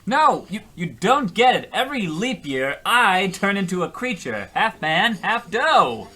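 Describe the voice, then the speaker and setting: Man as a high-pitched, flustered, hapless salesman. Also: sing-song, unhurried, bright, forceful tone, breathy edge, full character voice. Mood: anguished melodrama. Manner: earnest, flustered, stammering. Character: hapless melodramatic shoe salesman cursed as a dough monster. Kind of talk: comic old-time radio play acting.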